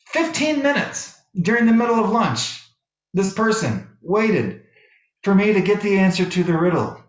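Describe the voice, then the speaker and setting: A middle-aged American man giving a sermon as a minister, telling personal stories deliberately, phrase by phrase. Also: high voice, very animated. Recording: roomy, echoey, slightly dull sound.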